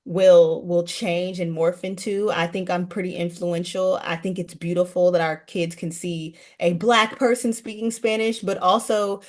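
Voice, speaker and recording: A woman's voice: on the low side, level tone, unhurried, hardly any pauses, a little mellow. A woman guest running a language program, chatting earnestly in a casual interview.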